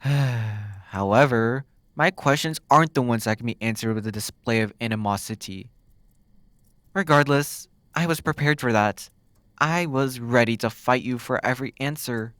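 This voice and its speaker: A man's voice: mid-range voice, a bit bright, no room sound, natural voice. Teasing demon captor in intimate, dry ASMR, acted by a man. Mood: puzzled, frustrated. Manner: teasing, drawn-out phrasing with musing pauses. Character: flirty, teasing male demon captor, smug then exasperated. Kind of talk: ASMR roleplay monologue.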